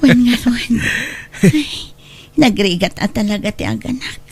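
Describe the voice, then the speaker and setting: A woman's voice: on the high side, animated, measured pace, darkish tone, breathy edge, exaggerated voice. A woman with an Ilocano accent playing a discontented, stubborn young mother in a radio drama, insistent and evasive. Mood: fretful.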